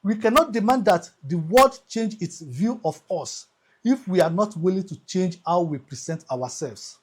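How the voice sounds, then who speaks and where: A man hosting a scripted motivational monologue, speaking emphatically like an orator, with deliberate repetition and pauses. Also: high voice, animated, breathy edge. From Africa. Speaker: host.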